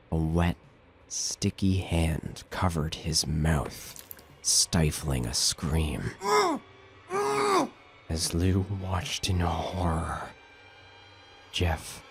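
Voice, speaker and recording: A man narrating a scripted horror story as the narrator of an audio drama. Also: low-pitched.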